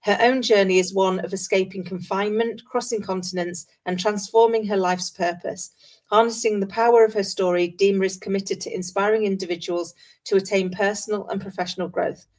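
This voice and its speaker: A woman's voice: mid-range voice, darkish tone. A woman hosting an interview show, effusive and encouraging as she introduces and chats with her guest. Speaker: podcast host interviewing a guest. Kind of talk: warm introduction and conversational interview.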